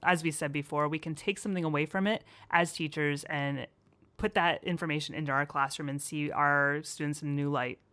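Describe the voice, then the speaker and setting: A woman teacher co-hosting a casual podcast, cheerful and quick to laugh. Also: deep voice, fairly even intonation, fairly bright tone.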